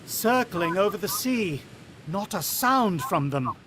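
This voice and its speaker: A man's voice: high-pitched, expressive, slow, fairly bright tone, a little hiss or hum, full character voice. An actor playing a worried, watchful country father who also narrates, in the style of a vintage radio drama. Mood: uneasy, urgent.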